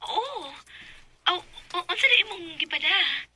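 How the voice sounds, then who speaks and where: A coy, excitable young woman in a radio drama. Her voice is extremely high and gushing, full of little exclamations. The recording is clearly noisy. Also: unhurried, heavily acted. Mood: coy, flustered.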